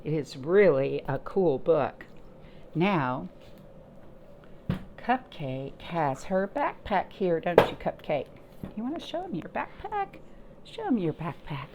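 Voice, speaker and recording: A woman reading and chatting to young children as a storytime host, in an expressive sing-song way with lots of simple questions. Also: fairly low pitch, slow, long pauses, muffled recording. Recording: noticeable background noise.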